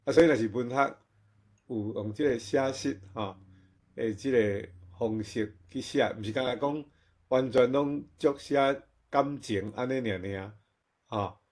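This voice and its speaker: A man with a Taiwanese accent giving casual comments at an online forum, speaking in a halting, conversational way and repeating himself. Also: medium pitch, a little mellow. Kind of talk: casual spoken reflection.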